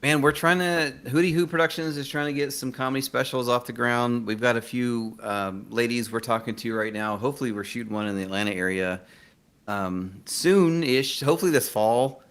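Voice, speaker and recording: A man's voice: medium pitch, brisk, a bit bright. A man chatting casually as an interview guest, informal and self-deprecating in manner. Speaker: interview guest, screenwriter and podcaster. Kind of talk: casual chat.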